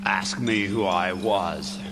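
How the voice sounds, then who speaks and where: A man in a live radio drama playing the tormented ghost of a dead miser, mournful and theatrical, with a gravelly voice. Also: deep voice, a bit bright, slight background noise, heavily acted. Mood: menacing. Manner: slow, mournful, theatrical wailing and declaiming. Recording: long hall reverb with music behind.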